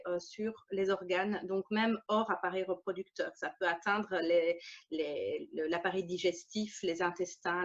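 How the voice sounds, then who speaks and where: A woman giving a personal account as an interview guest, speaking haltingly and searching for her words. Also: mid-range voice, lo-fi sound. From Belgium. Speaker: interview guest, women's energy healer and coach. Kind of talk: personal testimony in an informal interview.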